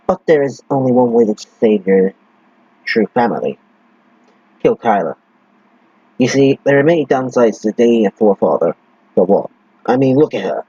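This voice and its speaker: Man acting a husky, taunting villain. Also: mid-range voice, expressive, normal speed, pauses often, warm tone, no echo. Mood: taunting menace. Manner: mocking, crude, theatrical. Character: taunting, sadistic male villain toying with his victim. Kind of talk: villain monologue in amateur audio drama. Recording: dull lo-fi dry recording.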